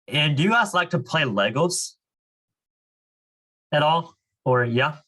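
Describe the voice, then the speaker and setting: A man's voice: on the high side, takes it slowly, bright, forceful tone. A young man casually explaining and reading aloud to children as a reading club leader, with an informal way of talking peppered with "like" and "you know".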